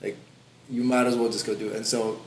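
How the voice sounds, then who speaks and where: A man's voice: mid-range voice, level tone, brisk. A man from the Philippines chatting casually and earnestly on a podcast, in informal bursts. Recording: roomy echo, background noise.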